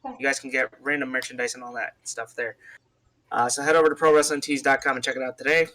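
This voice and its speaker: Bright, forceful man doing podcast promos. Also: mid-range voice, flat delivery, fast talker. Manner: informal promotional patter, addressing listeners as folks. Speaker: podcast co-host. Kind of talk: casual sponsor read and banter on a wrestling podcast.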